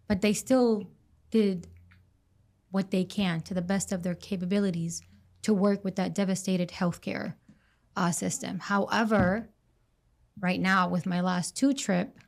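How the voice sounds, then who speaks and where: Earnest woman with a gravelly voice. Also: medium pitch, a little mellow. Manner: earnest, halting, restarts sentences. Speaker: registered nurse guest. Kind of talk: interview answers.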